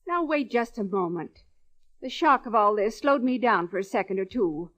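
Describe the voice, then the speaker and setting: An American actress playing a businesslike female gem dealer, crisp and matter-of-fact, in old-time radio drama style. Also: medium pitch, lively intonation, moderate pace, fairly bright tone. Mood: firm. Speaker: radio actress in supporting part. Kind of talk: 1940s-50s American radio drama acting. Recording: dull old radio recording.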